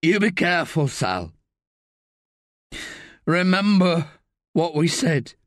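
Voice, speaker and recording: A man's voice: on the high side, very animated, slow pace, no echo, clean studio sound, voice pushed up. A man reading an audiobook, voicing a dying man who speaks urgently and earnestly. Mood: urgent, resigned. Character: dying man, weary and resigned.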